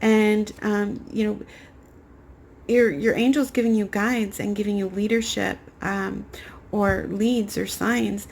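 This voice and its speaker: Raspy young woman reading tarot cards. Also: medium pitch, fairly even intonation, takes it slowly, slight background noise. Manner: soft, meandering spiritual talk with many fillers. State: getting over a cold. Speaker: tarot card reader. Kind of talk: pick-a-card tarot reading to camera.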